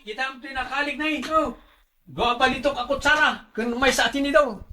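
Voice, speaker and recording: A man playing a stubborn, excitable young son in a radio drama, with a whining, eager way of arguing. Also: very high voice, a little fast, a little hiss or hum, full character voice. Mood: excited, impatient. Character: stubborn, excitable grown son dreaming of riches. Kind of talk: Ilocano radio drama acting.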